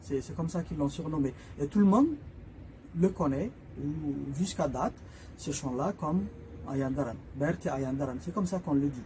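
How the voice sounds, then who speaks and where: Man, somali native speaker, author talking about his books, somewhat high voice, mellow tone, hesitant with many fillers. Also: moderate pace, a little hiss or hum, rough recording. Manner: hesitant, many filler sounds and restarts while explaining. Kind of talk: informal spoken book presentation.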